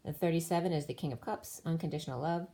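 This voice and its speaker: A woman's voice: sits low, fast, creaky, warm tone. A woman giving a solo spiritual tarot reading, explaining cards and numbers one by one while losing her voice. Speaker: tarot reader.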